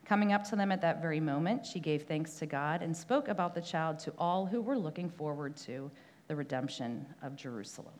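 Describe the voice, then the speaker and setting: A woman's voice: low voice, fairly quick, a little mellow, a little hiss or hum. A young woman preaching a church sermon in a conversational way, often asking "right?", with a prayer and a scripture reading. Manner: conversational, frequent tag "right?", some restarts.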